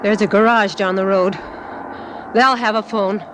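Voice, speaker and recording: A woman's voice: mid-range voice, steady tone, unhurried, noisy background, lightly acted. An American woman playing a hard, bitter, gun-wielding dame in a 1940s radio drama, with tough, slangy speech. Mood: suspicious.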